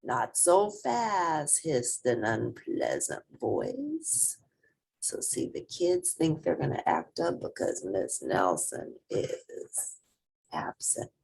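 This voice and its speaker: A woman narrates a children's picture book in an expressive read-aloud style. Her voice is low and husky, and she takes an unhurried pace. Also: rough recording. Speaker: storybook reader.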